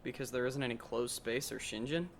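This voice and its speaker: A man's voice: mid-range voice, steady tone, a little fast, slight background noise, lightly acted. A man acting a sarcastic, weary teenage schoolboy narrator in an anime fandub, dry and grumbling.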